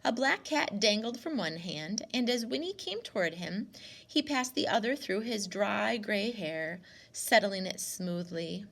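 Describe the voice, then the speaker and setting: A woman reading a storybook aloud as a teacher, in an expressive narrating style. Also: mid-range voice, a little slow, gravelly.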